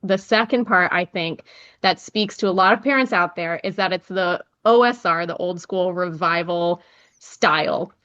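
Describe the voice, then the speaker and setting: A woman's voice: fairly low pitch, normal speed, fairly bright tone, clear, resonant tone, lo-fi sound. A woman hosting a casual interview podcast, speaking in an enthusiastic, affirming way. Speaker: podcast host interviewing a guest.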